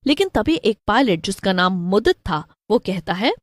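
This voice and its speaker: A woman's voice: medium pitch, sing-song, moderate pace, no room sound, clean studio sound. A woman narrator giving a scripted narration of a cartoon story.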